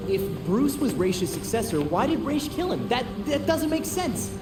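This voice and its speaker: An actor with an American accent playing an earnest, questioning young vigilante sidekick in a video game. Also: male voice, extremely high pitch, big pitch swings, normal speed. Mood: probing. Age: young adult. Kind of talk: video game cutscene acting. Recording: echoey, distant, loud music behind.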